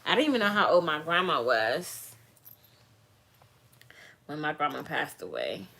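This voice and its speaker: A woman vlogger chats with her viewers in a loose, rambling way. Her voice sits a little low for a woman, and her candid talk often turns soft and heartfelt. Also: sing-song, a little slow, gravelly. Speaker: vlogger answering viewer questions. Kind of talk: casual livestream chat.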